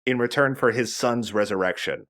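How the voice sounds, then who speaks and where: A man's voice: mid-range voice, normal speed, a bit bright. A man giving casual, chatty commentary as he explains a video game. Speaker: let's play host.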